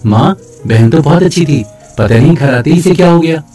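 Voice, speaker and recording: A mellow, dark-toned young brother played by a man speaks over music in a storybook tale. He sounds gentle and patient, soft-spoken and fond of his family. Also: on the high side, animated, fairly quick, breathy edge. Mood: grave.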